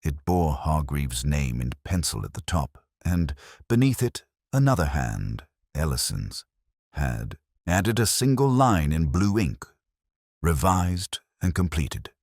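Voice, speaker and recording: A man playing a brooding, melancholy police detective, narrating his story in a measured, solemn, literary manner. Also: extremely low pitch, big pitch swings, darkish tone, dry recording, studio quality, exaggerated voice. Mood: brooding, reflective.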